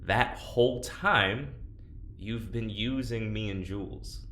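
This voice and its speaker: An actor as a gentle, awkward man in an audio drama. He stammers his way toward honesty in a slightly low voice. The sound is echoey with faint noise. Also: takes it slowly. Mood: candid, uneasy. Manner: hesitant, stammering. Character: awkward, gentle, candid man, reluctant confidant.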